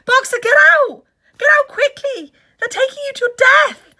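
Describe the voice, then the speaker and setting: A woman's voice: very high-pitched, measured pace, bright, forceful tone, pitched above the performer's own voice, heavily acted. A woman voicing a stout, motherly old mare who cries out desperately in a storybook reading. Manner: crying out desperately.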